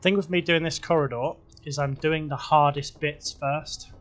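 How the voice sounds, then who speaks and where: A man giving relaxed, casual gameplay commentary, talking to himself as he builds, his voice a little croaky. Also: a little high, moderate pace. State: slightly croaky voice. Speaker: gaming video host.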